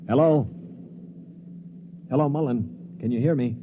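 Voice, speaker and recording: A man's voice: mid-range voice, measured pace, close to an everyday voice. An American actor in a 1950s radio drama playing a tense, commanding man coaching a crewmate over the radio with urgent instructions. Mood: urgent, steadying. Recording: muffled, noisy 1950s radio recording.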